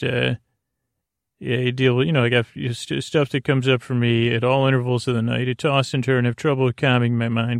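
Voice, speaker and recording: Male bedtime podcast host: soothing gravelly voice, subdued intonation, halting and rambling, self-deprecating mumble, close dry sound. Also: mid-range voice, no echo.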